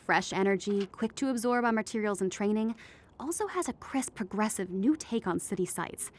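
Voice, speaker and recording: A woman playing a businesslike tour company manager in a radio play, speaking in a measured, diplomatic corporate way. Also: mid-range voice, expressive, slight background noise, lightly acted.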